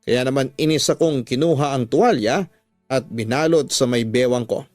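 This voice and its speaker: A man's voice: medium pitch, moderate pace, a little mellow, no room sound, studio quality. A man narrating a love story in the first person, as dramatic scripted radio storytelling. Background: music.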